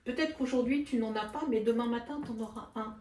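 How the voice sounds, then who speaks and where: A woman's voice: a little high, fast talker, a little mellow, a little hiss or hum. A woman interviewing a guest, reflective and affirming. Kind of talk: conversational interview. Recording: echoey room.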